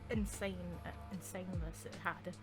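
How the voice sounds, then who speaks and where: A woman's voice: on the low side, fairly even intonation, moderate pace. A woman giving casual, informal let's-play commentary, reacting aloud to the game. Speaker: gaming commentator. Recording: lofi music behind. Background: background music.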